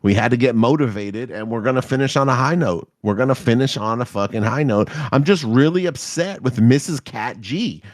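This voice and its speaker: A man in his thirties co-hosting a podcast, rambling loudly and swearing through casual banter. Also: mid-range voice, brisk, few pauses, no room sound, studio quality. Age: 30s. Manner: loud, rambling, swearing, jokey. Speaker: podcast co-host.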